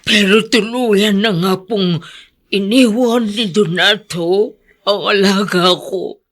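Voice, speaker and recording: An actress playing an earnest older nursemaid with a Filipino accent, revealing a painful secret in a radio drama. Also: female voice, medium pitch, slow. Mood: sorrowful, earnest.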